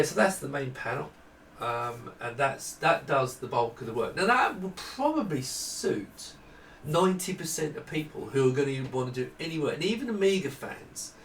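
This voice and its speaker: A man's voice: mid-range voice, vocal fry, a bit bright. A middle-aged man giving an informal, unscripted product walkthrough, explaining as he goes and often breaking off to rephrase. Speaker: presenter demonstrating hardware prototypes. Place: small indoor workroom with desk. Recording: echoey room, distant mic, faint noise.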